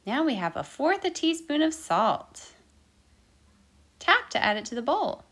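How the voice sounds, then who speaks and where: A woman's voice: sits high, big pitch swings, measured pace, fairly bright tone. A woman hosting an interactive children's baking storytime, encouraging listeners with sing-song, repeated instructions. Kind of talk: interactive children's baking lesson with counting and singing.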